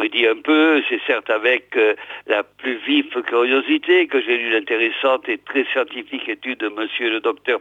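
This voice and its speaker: Hoarse male radio host, tinny sound. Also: mid-range voice, dry recording. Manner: hesitant, many fillers and restarts. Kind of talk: radio talk reading old press articles.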